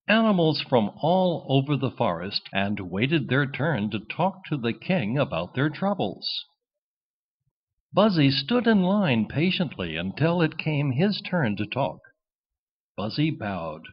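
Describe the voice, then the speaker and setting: A man reading a children's storybook as narrator in an expressive, scripted style. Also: mid-range voice, unhurried, husky, lo-fi sound.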